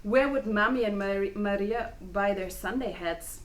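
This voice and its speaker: A woman acting a snobbish, fiery pregnant Cuban wife in a dramatic play reading, expressive, with Spanish exclamations mixed in. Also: mid-range voice, normal speed, close to an everyday voice. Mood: snobbish, sarcastic. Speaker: student actor. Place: indoor room, live play reading. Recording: echoey room with faint background noise.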